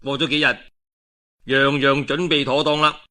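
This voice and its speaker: A man with a Cantonese accent telling a historical tale in a dramatic, colloquial and expressive oral storytelling style. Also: a little high, normal speed. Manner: colloquial and expressive, with rhetorical asides.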